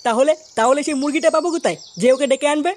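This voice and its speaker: A performer voicing a bossy young male village club leader in a comic cartoon, brisk and commanding. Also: high voice, noisy background, close to an everyday voice.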